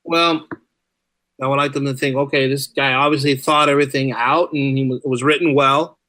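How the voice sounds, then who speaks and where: A man speaking casually as a podcast guest, telling stories in an animated, rambling way. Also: medium pitch, fairly quick, dry recording. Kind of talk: casual interview chat.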